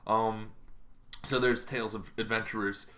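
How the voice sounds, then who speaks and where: A man's voice: lowish voice, flat delivery, normal speed, pushed and bright. A man hosting an informal, unscripted explainer, thinking aloud in a rambling, hesitant way. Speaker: YouTube host giving game lore advice.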